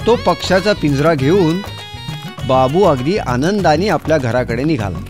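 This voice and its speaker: A man's voice: fairly high pitch, expressive, normal speed, music in the background. A man narrating a scripted children's fairy tale as storyteller.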